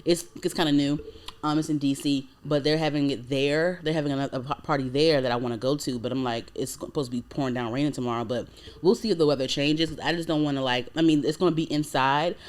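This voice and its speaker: A woman's voice: low voice, fairly even intonation, fast talker, a little mellow. Chatty woman in her late twenties. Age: 20s. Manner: chatty, many fillers and "like"s. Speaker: podcast host. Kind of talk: casual chat.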